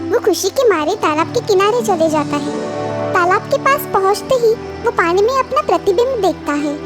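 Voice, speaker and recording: A woman tells a children's story in a very high, animated voice. She reads as a scripted narrator, with music clearly playing behind her. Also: moderate pace.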